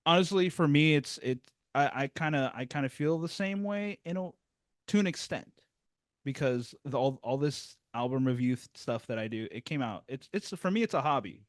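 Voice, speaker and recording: A man's voice: medium pitch, animated, normal speed, no echo. Male music review host, casual chat, rambling and self-deprecating, dry close mic.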